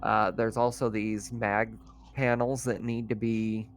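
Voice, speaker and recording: A man's voice: lowish voice, level tone, measured pace. A man running a tabletop roleplaying game as game master, narrating off the cuff in a casual, conversational way.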